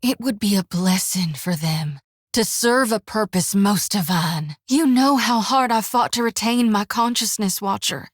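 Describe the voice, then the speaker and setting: A woman's voice: mid-range voice, animated, unhurried, dry recording. An actress voicing a devout young woman priest in a fantasy game, talking in an earnest, folksy way. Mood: zealous. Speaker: companion character in a fantasy game. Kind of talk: video game voice acting. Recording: dry studio.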